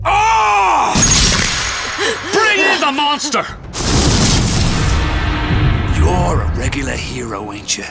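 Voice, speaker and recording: An actor playing a sadistic, mockingly affectionate crime boss in a video game, with an Irish accent and a taunting, drawn-out delivery. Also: male voice, extremely high pitch, expressive, creaky, full character voice. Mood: angry. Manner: taunting, theatrical, drawn-out phrasing. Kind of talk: video game cutscene acting. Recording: music behind the voice.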